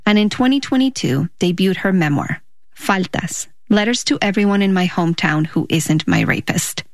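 A woman's voice: fairly low pitch, big pitch swings, normal speed, no echo, studio quality. A woman reading scripted documentary narration as the host of a show. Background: prominent music under the narration.

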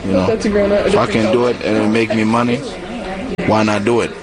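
A man in his twenties from South Sudan whose first language is Acholi, speaking in a relaxed, reflective way in a casual interview, sometimes laughing and searching for words. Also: medium pitch, normal speed, creaky, a loud crowd, poor audio. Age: 20s. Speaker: interviewee, cosmetology student.

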